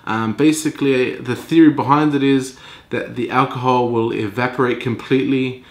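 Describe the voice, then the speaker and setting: A young man with a stuffy, congested voice, explaining his hobby work to camera in a relaxed, casual vlog. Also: mid-range voice, normal speed, reverberant. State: congested from a cold. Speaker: hobby watchmaker vlogger. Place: home workshop, indoors.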